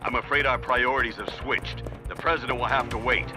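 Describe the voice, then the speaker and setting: Stern military colonel played by a man, loud music. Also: medium pitch, fairly even intonation, a little fast, close to an everyday voice. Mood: urgent. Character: stern older military colonel briefing his agent, brisk, businesslike. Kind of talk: dramatic video game voice acting.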